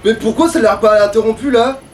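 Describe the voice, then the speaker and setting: A man playing a video game and chatting casually, foul-mouthed and prone to exasperated grumbling and shouting. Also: sits high, fairly quick, recorded from a distance, a little hiss or hum. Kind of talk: casual let's play chat.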